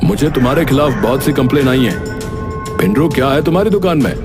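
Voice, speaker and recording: A man's voice: mid-range voice, lively intonation, a little fast, lightly acted. A man playing a stern village police inspector in a cartoon story, brusque and authoritative. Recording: music behind the voice.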